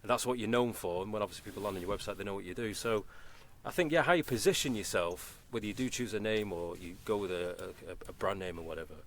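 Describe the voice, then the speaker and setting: A man from Manchester with a soft Manchester accent, hosting a casual podcast chat, chatty and quick to laugh. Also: on the low side, a little hiss or hum. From Manchester, England. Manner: quick, chatty, laughs easily, many restarts. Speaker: podcast host.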